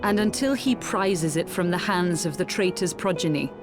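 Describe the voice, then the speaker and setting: Fantasy game priestess, played by a woman: proud, stern, low-pitched, formal, music behind. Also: moderate pace, lightly acted. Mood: solemn. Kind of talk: video game voice acting.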